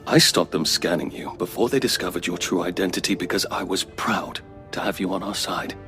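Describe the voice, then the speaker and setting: A man's voice: low voice, steady tone, fairly quick, pushed and bright, faint music behind. A man playing an earnest, zealous alien peace negotiator in an audio drama, speaking in impassioned, persuasive oratory. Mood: fervent, proud.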